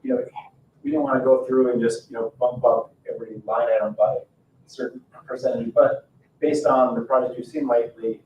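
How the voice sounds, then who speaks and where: A man giving an informal presentation as a consultant at a public board meeting, with halting, hesitant phrasing. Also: medium pitch, steady tone, lo-fi sound. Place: indoor town board meeting room. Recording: echoey room, distant mic, faint noise.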